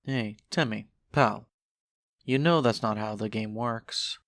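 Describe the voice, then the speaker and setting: A man's voice: on the low side, slow pace, a bit bright, no room sound, clean studio sound. A man playing a sly, laid-back skeleton hustler with a casual, unbothered way of talking in a fan audio drama. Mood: casual hustling.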